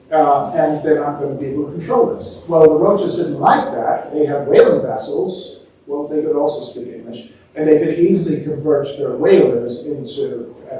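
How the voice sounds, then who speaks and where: A man's voice: fairly high pitch, mellow. A man presenting an informal history lecture to an audience, telling stories conversationally and posing rhetorical questions. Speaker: museum talk presenter. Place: indoor museum talk before a live audience. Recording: very echoey room, distant mic, faint noise.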